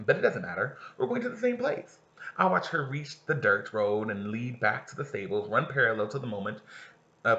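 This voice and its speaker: A man's voice: mid-range voice, pushed and bright. A man teaching casually, talking straight to his students while reading a novel aloud. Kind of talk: read-aloud lesson with discussion. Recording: roomy, slightly dull recording.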